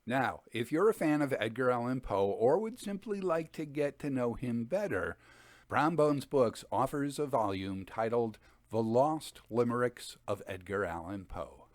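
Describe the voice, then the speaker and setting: A man hosting a reading series, giving conversational literary commentary with expressive, emphatic phrasing. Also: medium pitch, no echo. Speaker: host and dramatic reader.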